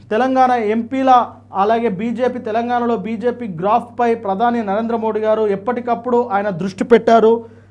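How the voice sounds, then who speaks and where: A man. He speaks as a news presenter, stressing his points and circling back to them as he explains. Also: extremely high pitch. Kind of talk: news commentary.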